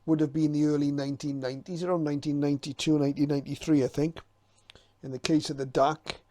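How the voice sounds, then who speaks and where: Male hobbyist presenter, flat intonation, slightly high pitch, hesitant and reflective casual commentary. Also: dry recording. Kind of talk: casual unscripted commentary while leafing through a guide.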